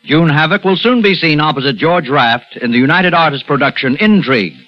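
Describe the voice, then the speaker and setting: A man's voice: on the high side, animated, brisk. A man making formal, emphatic announcements for a radio drama. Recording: dull old radio broadcast with music.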